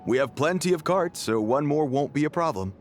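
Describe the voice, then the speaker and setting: Man acting an animated, affable desert guard. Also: fairly high pitch, fairly quick, lightly acted. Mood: friendly, helpful. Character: affable but wary desert guard with sly streak. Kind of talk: video game voice acting. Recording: music under the voice.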